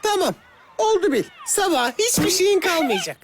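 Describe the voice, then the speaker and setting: A woman playing a boastful, excitable young child magician in an animated cartoon, with theatrical delivery. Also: very high-pitched, moderate pace, a little hiss or hum. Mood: smug. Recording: music behind the voice.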